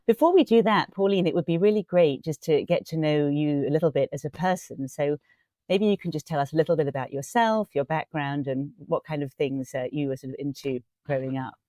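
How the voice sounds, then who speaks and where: Female interview host: soft-edged, relaxed timbre, courteous and encouraging delivery, very dry sound. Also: low voice, no room sound. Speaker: podcast host. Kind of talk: warm scripted welcome and conversational interviewing.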